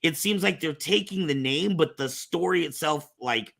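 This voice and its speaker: A man's voice: fairly high pitch, moderate pace, creaky, fairly bright tone. A man hosting a casual nerd-culture discussion, opinionated and stammering, often repeating words as he gets his point out. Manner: swears casually. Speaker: podcast host.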